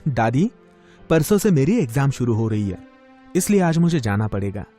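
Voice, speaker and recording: A man's voice: medium pitch, big pitch swings, moderate pace, dark tone, soft background music, voice pushed up, close to an everyday voice. A man voicing an earnest, caring young student in a moral story, eager and reassuring.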